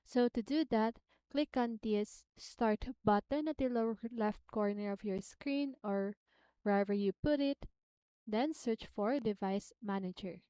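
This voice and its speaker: A woman narrates a casual how-to guide in a smooth, clear voice. She takes her time, going step by step and repeating herself simply. The sound is close and dry, like a voice-over. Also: mid-range voice, unhurried, dark tone, no echo. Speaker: tutorial host.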